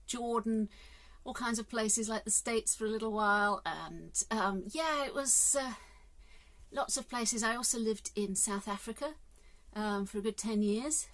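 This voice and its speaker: Middle-aged English woman, earnest and musing, casual vlog, phone at arm's length in a caravan. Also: medium pitch. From England. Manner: earnest, musing, with hesitant fillers. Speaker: vlogger. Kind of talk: casual reflective monologue. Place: inside a caravan. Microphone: phone camera at arm's length.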